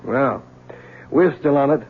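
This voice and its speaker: An actor playing a sardonic, wisecracking police lieutenant in a 1970s radio drama. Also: male voice, medium pitch, measured pace, vocal fry, breathy edge. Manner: sardonic, teasing. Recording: tinny old radio broadcast.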